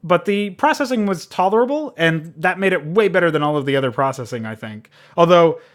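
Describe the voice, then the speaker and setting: A man with a slightly high voice reviews a game off the cuff. He talks in a loose, rambling, informal way and swears freely as he goes. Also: animated, a little fast. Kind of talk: casual unscripted spoken review.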